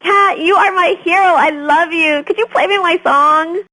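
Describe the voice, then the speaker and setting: A woman's voice: extremely high pitch, moderate pace, pushed and bright, poor audio. A gushing teen girl caller. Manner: gushing, breathless fan talk. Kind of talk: scripted game voice lines.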